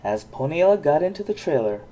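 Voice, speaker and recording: A parent reading a children's storybook aloud with expressive narration. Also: mid-range voice, fairly quick, poor audio.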